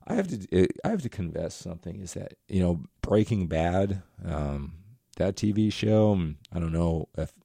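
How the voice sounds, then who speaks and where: Man, deep gravelly voice, middle-aged, rambling earnest monologue, dark tone, dry close sound. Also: big pitch swings, moderate pace, dry recording. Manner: rambling, earnest, addresses viewers directly. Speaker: motivational vlogger. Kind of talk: casual motivational monologue.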